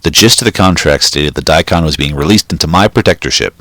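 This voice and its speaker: A man with a very low voice reads a short story aloud in a steady, measured way. The recording is dry and close, with no room sound. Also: no room sound. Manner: steady, measured reading with occasional slips. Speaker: reader.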